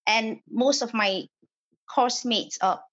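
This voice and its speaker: A woman's voice: fairly high pitch, slow pace, a bit bright, highs cut off. Hesitant woman guest from Malaysia. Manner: hesitant, with frequent fillers and restarts. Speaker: property developer. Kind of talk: podcast interview guest.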